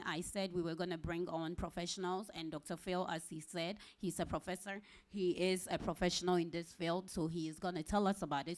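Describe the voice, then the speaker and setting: Woman hosting a live interview show. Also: medium pitch. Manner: friendly, repeats calls to share and subscribe. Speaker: host.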